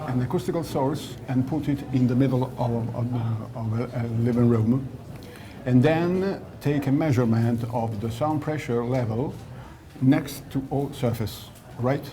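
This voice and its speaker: A man giving a technical explanation in an interview, didactic and often searching for words, at a noisy trade show. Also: medium pitch. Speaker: loudspeaker designer being interviewed. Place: audio trade show in Milan.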